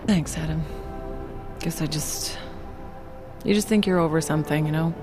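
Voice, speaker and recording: An actress playing a wry, soft-spoken therapist in a video game, shaken but resilient. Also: female voice, low voice, normal speed, over music, natural voice. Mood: haunted. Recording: game cutscene with loud score.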